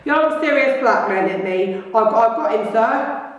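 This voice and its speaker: A woman voicing a big soft-hearted male giant who stammers and sobs, heard from afar in an echoey room. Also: a little high, lively intonation, unhurried, lo-fi sound. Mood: earnest. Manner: tearful, stammering, deferential. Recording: echoey room, far from mic.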